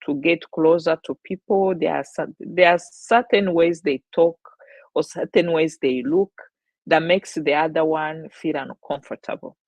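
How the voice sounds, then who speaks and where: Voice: medium pitch, fairly even intonation, measured pace, creaky, no room sound. A host with a Rwandan accent, Kinyarwanda their first language, speaking reflectively in a casual discussion. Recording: dull dry studio sound.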